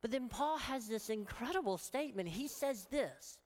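A woman's voice: fairly high pitch, expressive, moderate pace, a little mellow. A woman pastor with a Southern accent, preaching a sermon in an earnest, emphatic way and asking many rhetorical questions. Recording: long hall reverb.

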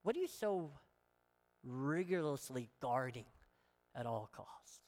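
A woman's voice: a bass voice, sing-song, slow, a little mellow. A woman pastor with a Southern accent, preaching a sermon in an earnest, emphatic way and asking many rhetorical questions. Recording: long hall reverb.

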